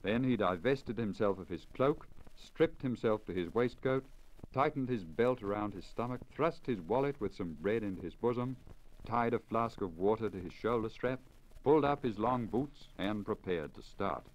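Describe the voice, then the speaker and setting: A man reading a literary story in a measured, formal, carefully enunciated 1950s radio storytelling manner. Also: on the low side, lively intonation, dull audio. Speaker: story reader, radio broadcaster. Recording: crackly deteriorated 1950 lacquer disc recording. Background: surface crackle and noise.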